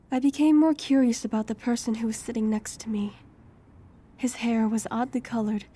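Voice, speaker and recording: A young woman playing a wary, homeless heroine in her twenties, telling her story softly and with measure in an audio drama. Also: fairly high pitch, lightly acted. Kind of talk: first-person audio drama narration and dialogue.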